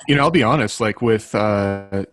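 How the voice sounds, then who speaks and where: A man's voice: fairly low pitch, moderate pace, a bit bright. A man chatting casually as a panelist on a writers' podcast. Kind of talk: casual chat.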